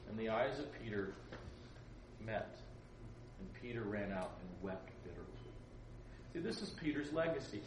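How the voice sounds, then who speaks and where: A man preaching to a camp group, telling the story with acted-out voices and chatty asides. He sits back from the microphone in a roomy, echoing space, with steady noise behind him. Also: on the low side. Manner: acts out voices, laughs often, chatty asides. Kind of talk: animated storytelling sermon. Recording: roomy echo, distant mic, background noise.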